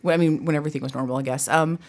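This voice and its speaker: A woman's voice: deep voice, fairly even intonation, very fast, darkish tone. A woman speaking informally and chattily as an interview guest, a theatre director who laughs easily. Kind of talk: conversational interview answers.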